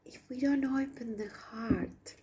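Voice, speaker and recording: Slow-spoken Argentine woman, soft whispers. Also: high voice, slight background noise. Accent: Argentine Spanish. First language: Spanish. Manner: slow, soft, drops to whispers on some words. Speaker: spiritual channel. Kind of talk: spiritual message to viewers.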